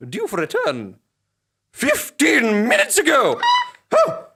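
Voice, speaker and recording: A man's voice: very high voice, wildly swooping pitch, slow pace, creaky, pushed and bright, full character voice. A man playing a pompous, fussy office boss, petty and punitive, in a comic audio drama. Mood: officious outrage.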